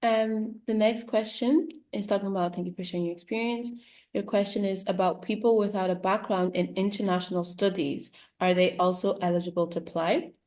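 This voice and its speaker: A woman moderating an online session, reading out audience questions in a brisk, upbeat manner. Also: medium pitch, steady tone, darkish tone.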